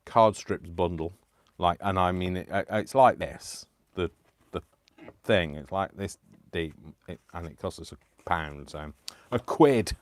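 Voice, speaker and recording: A man hosting a live crafting stream, chatting casually and poking fun at himself, tired and clearing his throat. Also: sits low, a little slow. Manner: chatty, self-deprecating, talks to himself. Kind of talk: rambling casual chat while crafting.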